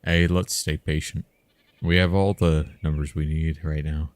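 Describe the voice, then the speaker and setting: A man voicing a gruff overseer in a story reading, giving orders in a commanding, emphatic manner. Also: extremely low pitch, little pitch movement, a little slow.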